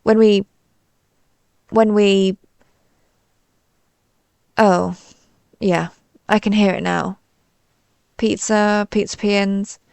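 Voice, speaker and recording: A woman's voice: medium pitch, little pitch movement, drawn-out words, vocal fry, long pauses, a little mellow, clear, resonant tone, no echo. A woman playing a pompous, easily flustered female alien invader in a scripted audio roleplay, with haughty, theatrical, formal phrasing. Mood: puzzled.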